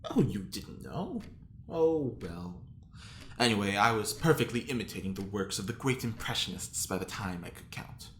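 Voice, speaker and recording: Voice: mid-range voice, lively intonation, normal speed, lightly acted. A woman playing a flippant, grandiose art-forger convict with wry mock politeness in a sci-fi comedy audio drama. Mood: breezy boasting. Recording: roomy echo, faint background noise.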